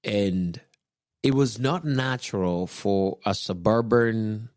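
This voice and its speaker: A man, calmly telling his personal faith story on a podcast, unhurried and reflective. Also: fairly low pitch, no echo, studio quality. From Kenya. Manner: unhurried, reflective, with soft chuckles. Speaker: podcast guest husband, pastor.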